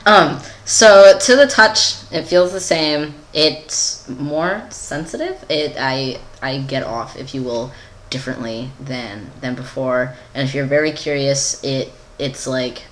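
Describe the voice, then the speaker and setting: A man's voice: mid-range voice, slow pace, a bit bright, a little hiss or hum. A young adult man giving a casual, candid personal video update in a rambling, giggly, self-deprecating way. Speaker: vlogger.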